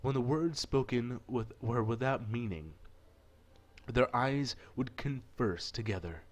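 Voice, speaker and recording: A man with a mid-pitched voice hosts a casual let's play. He reads the story text aloud as narrator and breaks off into playful, irreverent asides of his own. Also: slow. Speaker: gaming video host.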